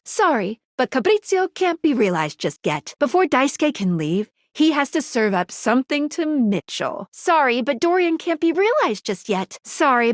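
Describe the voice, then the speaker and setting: A woman's voice: fairly high pitch, no echo, clean studio sound. A woman voicing a peppy, quirky female guide in a dating sim video game, with a perky, theatrical delivery. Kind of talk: animated video game character voice lines.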